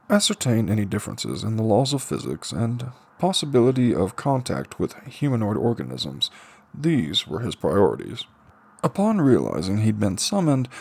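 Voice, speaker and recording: Gravelly, expressive male audiobook narrator. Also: mid-range voice. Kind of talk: scripted narration of a fantasy light novel.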